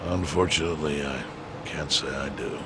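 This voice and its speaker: Very deep, grave gang chairman. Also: background noise. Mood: measured doubt. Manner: dry, deliberate, formal. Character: grave, measured, pragmatic yakuza chairman, older man. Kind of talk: video game English dub acting.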